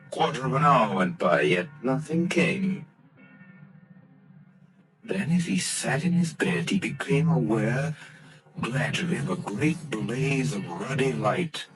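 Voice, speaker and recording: Slow measured male narrator. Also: mid-range voice, lively intonation, a bit bright, faint music behind, poor audio. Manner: measured storytelling. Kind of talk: scripted narration.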